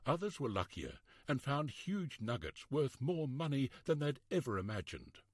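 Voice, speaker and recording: Expressive male storybook narrator, slightly husky. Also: mid-range voice, moderate pace, no echo, studio quality. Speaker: narrator reading a children's history book.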